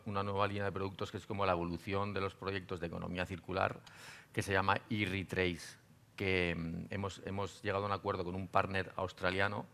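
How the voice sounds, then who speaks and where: A man speaks as a panel guest in a very low, gravelly voice. His pitch stays flat and even. He talks earnestly and often restarts his phrases. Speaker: panel guest, company representative. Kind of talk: panel talk.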